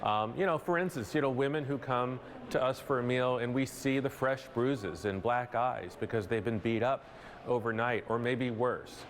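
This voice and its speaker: A man's voice: mid-range voice, normal speed. A man with an American accent giving interview answers as a nonprofit director. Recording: clear background ambient noise.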